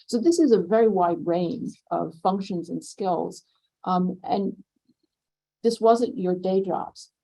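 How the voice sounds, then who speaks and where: A woman moderator with a dark, mellow voice and a gravelly edge. She speaks in a measured way and sums up her guests' points. She is recorded in a conference room. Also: lowish voice, poor audio. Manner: measured, sums up what others said. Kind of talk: interviewing panel guests.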